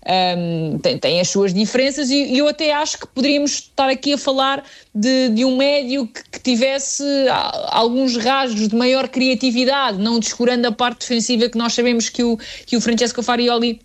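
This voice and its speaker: A woman from southern Portugal with a European Portuguese accent, a panelist giving a measured football analysis with many pauses to find her words. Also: fairly high pitch. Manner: measured, hesitant, many fillers and restarts while building a point. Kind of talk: football podcast discussion.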